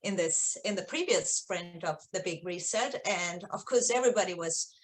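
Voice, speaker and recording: A woman's voice: fairly low pitch, normal speed. A middle-aged woman from Austria, a native German speaker with an Austrian accent, explaining things conversationally as an interview guest. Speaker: research executive, interview guest.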